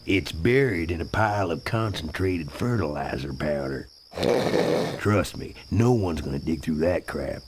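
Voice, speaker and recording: An actor playing a shady, folksy trucker and smuggler, with a gruff, cocky, colloquial way of talking. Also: male voice, very deep voice, lively intonation, measured pace. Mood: cocky, scheming. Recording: loud music behind, game audio.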